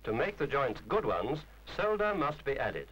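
A man's voice: mid-range voice, very animated, normal speed, a bit bright, husky, soft background music, poor audio. A man reading a scripted instructional film narration in a formal, precise, didactic manner.

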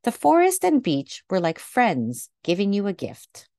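A woman reading a picture book aloud to children in a gentle, deliberate way, recorded indoors over a video call. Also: mid-range voice, huge pitch swings, dry recording, clean studio sound. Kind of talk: read-aloud storytelling for children.

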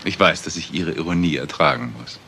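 An actor playing a suave, cynical career criminal with eloquent, ironic phrasing in a German crime drama. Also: male voice, very deep voice, brisk, bright, forceful tone, slight background noise, full character voice. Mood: smug, philosophizing. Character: suave, cynical male career criminal, self-assured and unrepentant.

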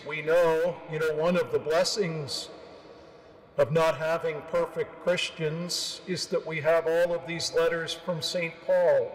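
An older man in his sixties preaches a homily from a cathedral pulpit. His voice sits a little high for a man and he speaks very slowly, in solemn, measured phrases. The sound carries a long church reverb. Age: 60s. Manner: measured, solemn, with deliberate pauses. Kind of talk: sermon. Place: large stone cathedral, at the pulpit. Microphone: gooseneck pulpit microphone.

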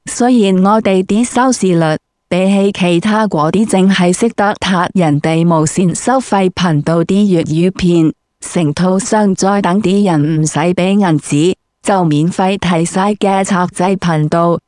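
A woman's voice: on the low side, a little slow, a little mellow, dry recording, studio quality. A woman doing a crude, scripted comic interview in a brash, foul-mouthed way.

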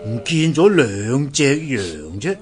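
A man's voice: fairly high pitch, animated, takes it slowly, darkish tone. A man playing a kindly, sympathetic old man in a radio drama, exclaiming and sighing, with a Hong Kong Cantonese accent. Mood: concerned, sympathetic. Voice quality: creaky, aged.

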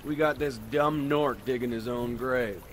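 Actor as a slow, cruel militia thug. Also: male voice, medium pitch, close to an everyday voice. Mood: taunting, cruel. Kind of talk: video game voice acting. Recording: distant voice amid outdoor noise.

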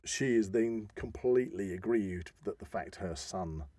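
A man's voice: fairly low pitch, unhurried, crowd noise. A man co-hosting a podcast, earnestly explaining in an informal way and often rephrasing himself as he goes. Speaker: podcast co-host. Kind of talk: informal podcast discussion, explaining a legend and traditions.

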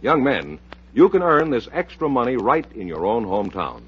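An American man hosting a radio show in a 1950s style, pitching with polished, folksy, upbeat salesmanship. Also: sing-song, moderate pace, husky. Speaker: radio announcer and host. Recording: muffled old radio transcription.

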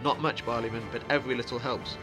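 A man's voice: medium pitch, steady tone, fast, close to an everyday voice, low-quality recording. A man voicing a lean, guarded, sardonic ranger in a fantasy audiobook, speaking in a grave, terse, biting way. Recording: music behind the voice.

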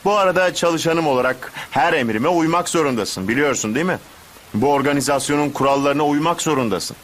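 A man's voice: on the high side, fairly quick, a bit bright, natural voice. A man playing a scheming, fussy villain boss in a cartoon dub, with a theatrical, commanding manner. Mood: smug, calculating. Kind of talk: Turkish cartoon dub acting.

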